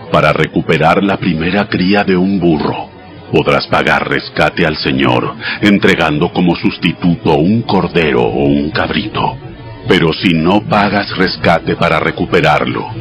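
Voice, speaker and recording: A man playing God as a stern, commanding deity in a dramatized audio Bible, solemn and measured, laying down law. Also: deep voice. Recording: music bed behind the voice.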